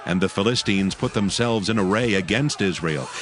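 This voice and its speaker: Low-pitched male scripture narrator. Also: slight background noise. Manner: measured, formal. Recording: music bed behind the voice.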